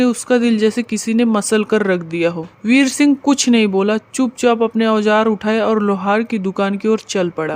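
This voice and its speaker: A woman's voice: fairly high pitch, a little mellow. Female storyteller over faint music. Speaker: narrator. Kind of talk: scripted story narration.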